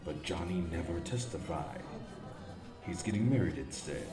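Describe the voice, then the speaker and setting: A man playing a brooding, wealthy young man who narrates a noir comic book drama in terse, solemn, measured lines. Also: low-pitched, expressive, reverberant, loud music behind the voice. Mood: grim.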